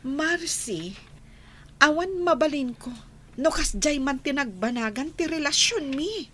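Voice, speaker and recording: A woman's voice: high voice, animated, unhurried, fairly bright tone, slight background noise. A woman playing an earnest young student in an Ilocano radio drama. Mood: earnest, defensive.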